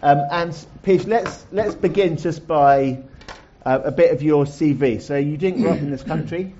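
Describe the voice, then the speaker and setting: A man hosting a chat-show-style live event, introducing and interviewing a guest with chatty, halting phrasing. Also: a little high, mellow, highs cut off, a little hiss or hum. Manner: chatty, halting, many restarts. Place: stage before a live audience.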